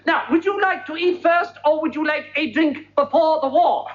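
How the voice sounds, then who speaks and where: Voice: high voice, normal speed, lightly acted. A stage actor playing a frantic, rude, blustering hotel owner who stammers and blurts out his words. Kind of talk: live stage farce. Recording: muffled live recording with loud audience laughter. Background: loud audience laughter.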